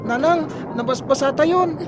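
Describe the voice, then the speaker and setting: A woman's voice: sits high, measured pace, music in the background. A woman playing a worried young daughter warning her parents of a storm in a radio drama. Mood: urgent.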